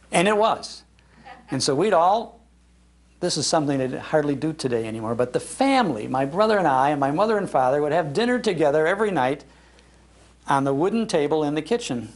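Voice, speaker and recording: An older American man giving a nostalgic, enthusiastic storytelling talk about the past. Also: a little high. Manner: enthusiastic, acts out quoted lines. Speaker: speaker on old-time radio history. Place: public library talk.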